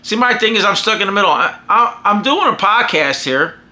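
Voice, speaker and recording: An American man sounding off in a vlog, his voice fairly high and strikingly sharp and forceful. He rambles emphatically, starting phrases over again and again. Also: expressive, a little fast. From United States. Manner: emphatic, rambling with frequent restarts. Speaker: vlogger and podcaster. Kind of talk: casual opinionated monologue.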